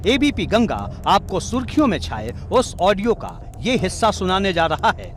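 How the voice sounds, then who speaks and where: Male reporter, dramatic scripted narration, highish voice, very lively intonation, music underneath. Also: moderate pace. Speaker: television news reporter. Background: music bed.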